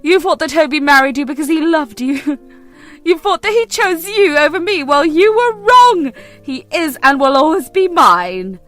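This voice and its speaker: A cruel, gloating schemer, as a woman reader puts her on, her high voice jabbing each taunt with mocking relish. Quiet music hums underneath the storybook narration. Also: expressive, moderate pace.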